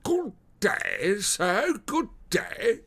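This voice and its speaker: A flustered, downcast police detective from a classic storybook. The voice sits high and swings widely in pitch. He draws out his words in an eager, deferential and confidential way, with formal politeness. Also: big pitch swings, fairly bright tone, full character voice. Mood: meek, apologetic. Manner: confidential, slow, drawn-out, formal address. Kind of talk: dramatised audiobook character voice.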